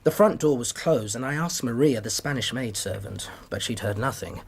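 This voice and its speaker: An actor in a period radio drama playing an earnest, anxious British doctor, formal, conciliatory and precise. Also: male voice, medium pitch, expressive, moderate pace, a little hiss or hum, close to an everyday voice. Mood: troubled, recounting. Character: earnest, anxious, well-mannered Victorian male doctor.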